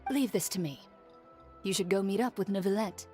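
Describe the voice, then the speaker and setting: Lady doing a poised formal game character, medium pitch, measured and courteous, bit of music behind. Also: close to an everyday voice. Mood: composed, formal. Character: composed, formal, dignified female champion. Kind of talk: video game voice acting.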